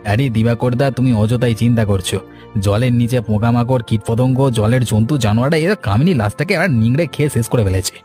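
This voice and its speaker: A man's voice: medium pitch, a little fast, mellow, faint music behind, close to an everyday voice. An actor playing a nervous, guilty village henchman in a cartoon, hesitant and evasive. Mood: conspiring. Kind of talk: cartoon drama acting.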